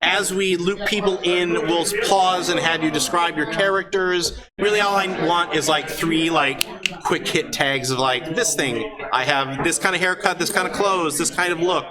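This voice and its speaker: A man running a tabletop game as game master, improvising scene descriptions haltingly with frequent drawn-out uhs. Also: on the high side, low-quality recording. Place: live show at a gaming convention. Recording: live convention stage, loud crowd.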